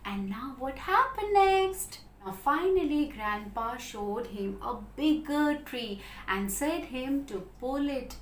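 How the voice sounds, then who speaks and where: A woman teacher with an Indian accent tells a children's story in a high voice. She speaks slowly and in a sing-song way, often checking that her little listeners follow. The room adds a clear echo. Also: fairly bright tone, a little hiss or hum. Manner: sing-song, repetitive, frequent checking questions. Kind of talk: storytelling lesson addressed to young children. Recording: roomy, echoing sound.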